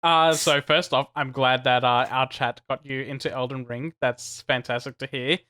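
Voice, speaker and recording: A man co-hosting a gaming podcast, lively and talkative, with a bright, forceful tone at a middling pitch. He restarts his sentences often and laughs between his points. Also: moderate pace. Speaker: podcast co-host. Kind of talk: casual podcast chat.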